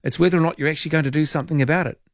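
A man with a New Zealand accent hosting late-night talkback radio in a chatty, jokey way, full of quick asides. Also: fairly high pitch, fairly even intonation, no room sound. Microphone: studio microphone.

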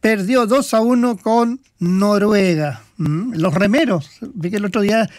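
A man's voice: sits high, measured pace, dry recording, studio quality. A man co-hosting a casual sports talk segment, rambling through match results with many fillers and hesitations. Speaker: sports commentator co-host. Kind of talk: casual radio sports chat.

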